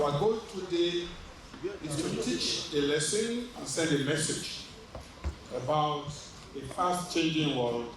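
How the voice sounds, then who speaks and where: Distant, very echoey man addressing an audience. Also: a little high. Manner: measured, deliberate. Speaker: presenter introducing a play. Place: large indoor hall. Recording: very echoey hall, distant mic, noisy.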